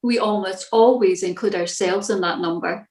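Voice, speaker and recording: A woman reading a scripted church devotional in a measured, reflective manner. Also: mid-range voice, darkish tone, reverberant.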